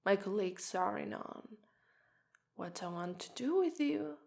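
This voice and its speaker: A woman's voice: medium pitch, animated, measured pace, creaky, a little mellow. A woman with an Italian accent playing a menacing, sadistic policewoman in an ASMR roleplay, taunting a suspect and slipping into whispers. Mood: mocking, gleeful. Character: menacing, sadistic, vengeful policewoman interrogating a killer. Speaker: ASMR voice actress.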